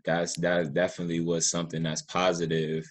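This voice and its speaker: A man in a casual podcast discussion, reflective and halting, drawing out some of his phrases. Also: low-pitched, completely flat delivery. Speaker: podcast host.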